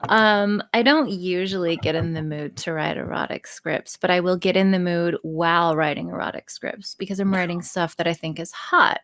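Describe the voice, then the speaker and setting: A woman's voice: lowish voice, fairly even intonation, moderate pace, hardly any pauses, fairly bright tone. A woman chatting casually as a podcast guest, candid, enthusiastic and free with swearing. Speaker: podcast guest, audio script writer and performer.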